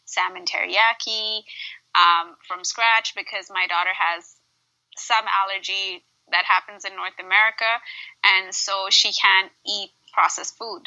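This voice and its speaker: A woman's voice: medium pitch, pushed and bright, muffled recording. A woman from Dubai in her thirties, a home cook guest talking animatedly in a casual interview and laughing often.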